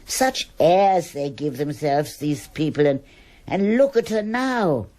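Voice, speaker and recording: A woman's voice: low voice, animated, slow pace, dark tone. An actress playing a disdainful, gossipy older woman in a radio drama, scornful and sly.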